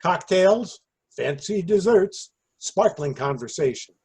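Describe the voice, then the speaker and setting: Casting: male actor for earnest, sheepish amateur raconteur, slightly high husky voice, readers theater comedy. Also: lively intonation, slow, darkish tone, exaggerated voice. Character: hapless, earnest man rehearsing a half-baked party anecdote.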